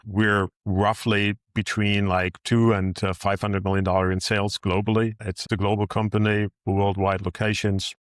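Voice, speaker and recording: A man's voice: deep voice, no echo, clean studio sound. A middle-aged man from northern Germany with a German accent, answering interview questions earnestly and upbeat. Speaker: guest, managing director. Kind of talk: in-person interview.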